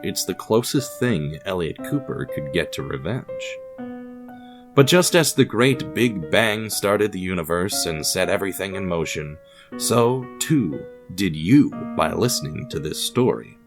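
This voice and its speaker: A man's voice: deep voice, lively intonation, measured pace, music in the background. A man narrating scripted fiction in a wry, ominous, formal manner, addressing the listener directly.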